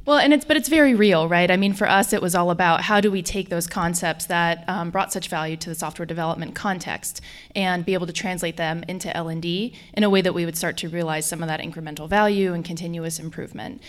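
Thoughtful lower-voiced American woman. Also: quick pace. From Colorado. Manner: thoughtful, frequent "right?" and "um". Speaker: guest. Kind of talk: live podcast interview. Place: conference hall with a live audience.

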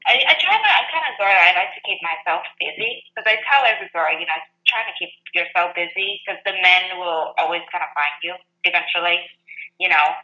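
Woman, about thirty, from Vietnam, bright low-pitched voice, casual chat, echoey lo-fi audio. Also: animated, brisk. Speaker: guest.

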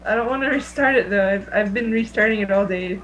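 A woman's voice: medium pitch, brisk, a bit bright. A woman co-hosting a podcast in an informal, conversational way. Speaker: podcast co-host. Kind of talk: casual chat. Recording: noisy home recording with hum.

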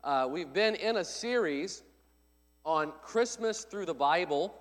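A man's voice: a little high, animated, slow. A male pastor, congested from a lingering cold, giving a friendly, conversational sermon with announcements into a pulpit microphone in a church. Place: church sanctuary. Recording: long church-hall reverb.

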